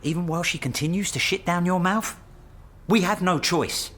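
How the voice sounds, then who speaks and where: A man's voice: high-pitched, moderate pace, a bit bright. A man acting in a radio drama as a pragmatic senior academic colleague, pressing his point in a blunt, urgent, matter-of-fact way.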